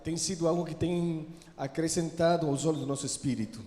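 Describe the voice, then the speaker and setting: A man from Brazil interprets a sermon live, following each phrase closely and sometimes stumbling into a restart. His voice sits a little high for a man. The sound bounces around a large church hall, with a long reverb. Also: steady tone, fairly quick, warm tone. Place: church sanctuary.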